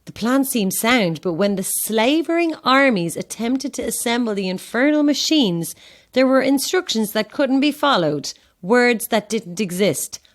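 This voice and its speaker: Wry, curious Irish woman tourist. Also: mid-range voice. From Ireland. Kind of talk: naturalistic audio horror drama dialogue.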